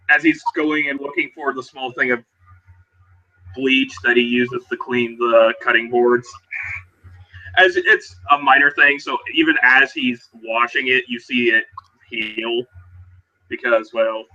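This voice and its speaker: A man improvising a tabletop roleplaying scene, switching casually between narration and in-character talk in a halting way. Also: mid-range voice, sharp tone. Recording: dull, muffled online voice-chat audio.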